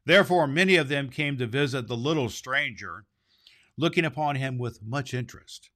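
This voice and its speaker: A man's voice: medium pitch, moderate pace, a bit bright. A man hosting a storybook reading, narrating expressively and stumbling slightly over some words. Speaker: storybook reader and podcast host. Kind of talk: storybook narration read aloud.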